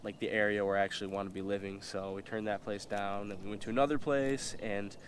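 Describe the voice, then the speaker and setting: A man's voice: on the low side, fairly even intonation, fast talker, slight background noise. A young man with a stuffy, nasal voice from a cold, casually telling a story in a vlog, full of fillers and slang. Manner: rambling, filler-heavy, slangy. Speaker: vlogger. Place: indoors, eating while talking.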